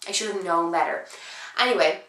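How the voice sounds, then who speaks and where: A young woman chats to her camera while ill, her voice roughened and sitting lower than usual for her. She rambles, restarts sentences and fills gaps with ums. The sound bounces around an echoey room. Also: fairly quick, bright, forceful tone. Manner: chatty, rambling, frequent "um" and restarts. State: sick, voice husky and low. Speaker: beauty vlogger. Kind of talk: casual chat to camera.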